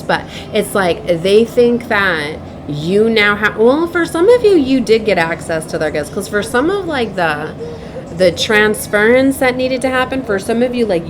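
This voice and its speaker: A woman giving an improvised spiritual message as a tarot reader, speaking in a halting, repetitive, earnest and cautioning way. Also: mid-range voice, noisy background. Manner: halting, repeats herself, circles back.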